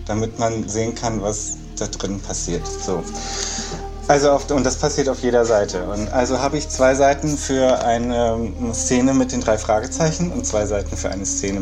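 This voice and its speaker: A man speaking as an interviewed author, explaining his work in spontaneous talk. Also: fairly low pitch.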